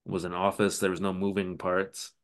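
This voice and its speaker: A man with a slightly low voice talks through a recap with dry sarcasm, as if chatting with a friend on a podcast. The pace is loose and conversational, and he drops in mocking quotes of the characters. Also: completely flat delivery, moderate pace. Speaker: podcast co-host. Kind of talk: casual recap chat.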